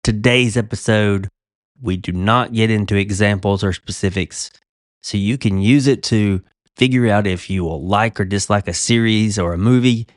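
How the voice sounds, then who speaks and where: A man with a lowish voice hosts a relaxed talk show about television. He sounds a little congested, speaking conversationally with small restarts. The recording is close and dry. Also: moderate pace, no room sound. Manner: conversational, slightly self-interrupting. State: stuffy from a cold. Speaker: podcast host. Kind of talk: casual chat.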